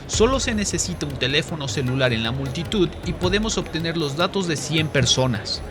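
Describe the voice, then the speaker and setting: A man's voice: fairly high pitch, expressive, a little fast, voice pushed up, natural voice. A man playing a smug, showy salesman presenting weapons to a crowd in a narrated comic story. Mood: smug, confident. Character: slick male arms-show salesman, showy, smug presenter. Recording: music behind the voice.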